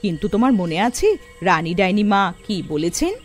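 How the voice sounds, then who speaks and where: A woman's voice: mid-range voice, big pitch swings, normal speed, soft background music, pitched below the performer's own voice, natural voice. A woman playing a caring, motherly witch wife in a cartoon story. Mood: fond, approving.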